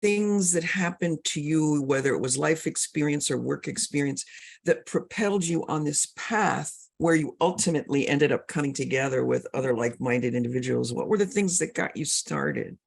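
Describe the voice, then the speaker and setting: Interviewer, gravelly voice, measured questioning style. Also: medium pitch. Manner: measured, thoughtful questioning with quiet backchannel murmurs.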